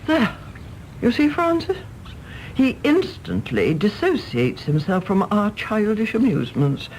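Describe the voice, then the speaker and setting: A woman's voice: medium pitch, wildly swooping pitch, darkish tone, a little hiss or hum, low-quality recording. An older woman with an English accent playing a bossy, fussing mother of a grown son in a radio drama, imperious and chiding. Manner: imperious, chiding, brisk with endearments. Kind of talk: stage-play radio drama acting.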